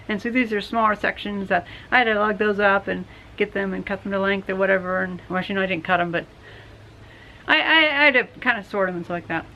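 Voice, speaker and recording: A woman's voice: mid-range voice, fairly bright tone. Young woman, getting over a cold, rambling casual vlog talk, outdoors, close camera, slightly muffled audio. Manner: rambling, self-correcting explanation. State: recovering from a cold, coughing. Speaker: DIY builder vlogger. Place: outdoors under a half-built wooden roof frame. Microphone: camera at close range.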